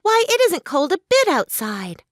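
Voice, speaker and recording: A high, very animated little girl's voice, acted by a woman, from a storybook. She sounds curious and polite, speaking up plainly with childlike wonder. Her words come slowly. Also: no echo, studio quality, exaggerated voice. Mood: doubting. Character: Trot, curious, polite, outspoken little girl.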